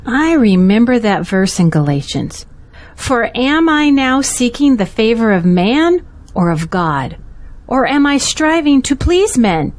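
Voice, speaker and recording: A woman's voice: fairly high pitch, big pitch swings, a little slow, darkish tone. A woman acting as a devout, supportive confidante of a pastor in an audio drama, speaking earnestly and reassuringly. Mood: troubled, earnest.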